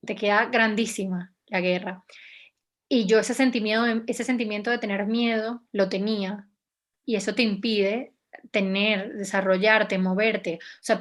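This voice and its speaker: A young woman from Venezuela speaks as a journalist guest in an interview. Her voice sits at an ordinary middle pitch, and she pauses and restarts often as she thinks her answers through. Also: fairly even intonation. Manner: hesitant, many restarts and fillers, reflective.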